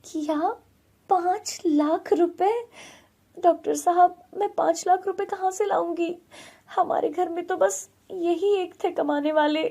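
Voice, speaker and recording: A woman's voice: very high voice, steady tone, measured pace, mellow, pitched above the performer's own voice. A woman voicing a worried, dutiful young village wife in an earnest, anxious story style. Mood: shocked, distressed.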